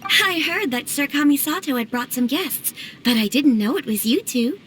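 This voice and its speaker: A woman playing a polite, earnest young noble lady in a video game, speaking in a formal, gentle, slightly hesitant way. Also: high-pitched, animated, normal speed, slight background noise. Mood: gracious. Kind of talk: English video game voice acting. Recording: soft music behind the voice.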